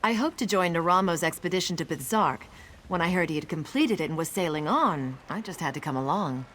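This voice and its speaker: An actress playing a cool, self-assured seafaring adventuress in a video game. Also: female voice, lowish voice, animated, brisk, a bit bright. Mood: confident. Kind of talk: video game character dialogue.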